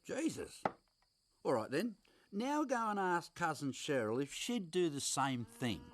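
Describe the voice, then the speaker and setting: A man voicing a gruff, wry farmer uncle in a cartoon, laid-back and dry. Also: fairly high pitch, big pitch swings, unhurried. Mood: exasperated.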